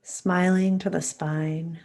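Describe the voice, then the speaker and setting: A woman leading a guided meditation in a soft, calm, soothing and unhurried way, with long pauses and breath at the ends of phrases. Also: fairly low pitch.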